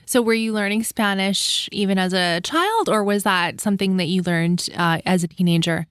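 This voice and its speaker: A woman's voice: medium pitch, moderate pace, clean tone, no room sound, clean studio sound. A woman from Canada hosting a podcast, asking curious, attentive follow-up questions. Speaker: podcast host. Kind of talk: interviewing a guest.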